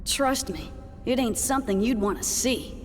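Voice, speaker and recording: A woman's voice: fairly high pitch, lively intonation, moderate pace, slight background noise, natural voice. Woman acting a candid executive in a reverberant hall. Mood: wry. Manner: blunt, colloquial, explanatory. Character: candid, self-assured woman executive in a sci-fi drama. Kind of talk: video game voice acting.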